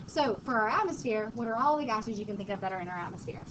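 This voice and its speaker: A woman's voice: on the high side, animated, fast. Chatty woman teaching chemistry class. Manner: chatty, joking, quizzes students. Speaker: high school chemistry teacher. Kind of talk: casual classroom lecture. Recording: muffled classroom recording, background noise.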